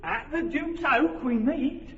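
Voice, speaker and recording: An actor playing an earnest, fussy carpenter who directs a village play, persuasive and organizing, in a radio drama. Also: male voice, extremely high pitch, slow. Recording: dull lo-fi radio recording with hall reverb.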